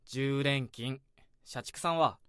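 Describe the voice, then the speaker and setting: A man's voice: medium pitch, slow, a bit bright, clear, resonant tone, dry recording, studio quality. An actor playing a brash, complaining young male office worker in his twenties in a radio drama.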